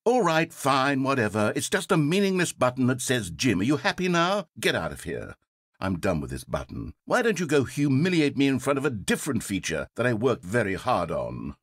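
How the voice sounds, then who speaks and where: An actor playing a fussy, needy male game narrator, theatrical and quick to turn from coaxing to exasperated. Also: medium pitch, huge pitch swings, a bit bright, husky, no room sound, studio quality, exaggerated voice. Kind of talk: video game voice acting.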